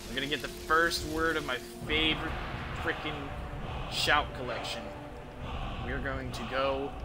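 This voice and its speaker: A man's voice: a little high, heavy background noise. A man giving casual live commentary on a game he is streaming, crude and offhand. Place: small indoor room at home. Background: game music and sounds.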